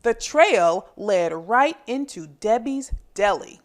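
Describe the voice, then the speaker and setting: A woman hosting a children's phonics lesson and storybook read-aloud, enunciating deliberately and expressively as a teacher does. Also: on the high side, expressive.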